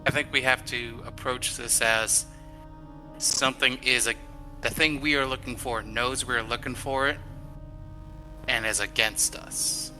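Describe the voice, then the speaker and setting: A man's voice: medium pitch, steady tone, a little slow, bright, forceful tone. A man playing a tabletop game, talking casually and hesitantly as he thinks things through aloud. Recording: music playing behind.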